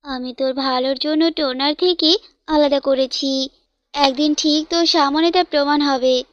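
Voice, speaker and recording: A woman's voice: high-pitched, fairly even intonation, a little slow, no echo, dull audio. A woman voicing a protective father bird in a cartoon, earnest and admonishing. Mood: gently persuading. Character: stern but loving protective father bird.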